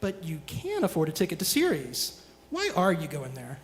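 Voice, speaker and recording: A man's voice: a little high, wildly swooping pitch, normal speed. A man playing a nervous, apologetic but resourceful young space traveler, hesitant and stammering, in a live radio drama. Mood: awkward, curious. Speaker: radio theatre actor. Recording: noisy live hall with long reverb.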